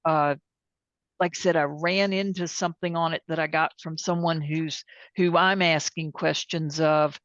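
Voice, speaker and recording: A plainspoken woman presenting an informal software demonstration and taking questions, candid about what she does and doesn't know. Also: low voice, fairly even intonation, normal speed, no room sound. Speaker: presenter and tech support. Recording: dull, band-limited sound.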